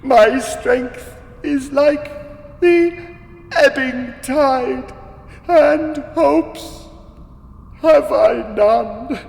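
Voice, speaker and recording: Elderly storybook king: frail, grief-stricken, high singsong lament, long reverb. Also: vocal fry, darkish tone, full character voice. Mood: despairing lament. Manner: slow, mournful, wailing. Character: despairing, frail old king, sorrowfully lamenting. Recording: echoey, long reverb, music behind.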